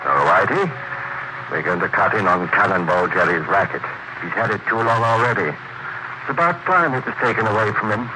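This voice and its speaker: An actor playing an obsessed crime writer turned menacing mob boss, theatrical and intense in 1940s radio style. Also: male voice, fairly low pitch, normal speed, lightly acted. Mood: commanding, confident. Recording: very noisy 1940s radio recording.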